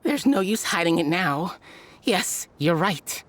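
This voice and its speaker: A woman's voice: fairly low pitch, lively intonation, takes it slowly. A woman playing a nervous captured female underling in a video game, anxious and hesitant. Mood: resigned.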